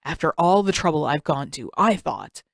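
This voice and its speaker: A woman's voice: low-pitched, lively intonation, normal speed, vocal fry, dry recording, clean studio sound, pitched below the performer's own voice, heavily acted. A woman voicing a strict, stubborn older male master in a fantasy story, clipped and grudging. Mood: exasperated.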